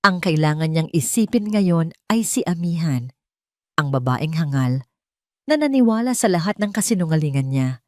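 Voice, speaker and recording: Woman telling a dramatic story, low voice, lots of pitch movement. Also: animated, normal speed, dry recording, studio quality. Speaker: story narrator. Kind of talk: dramatic scripted narration.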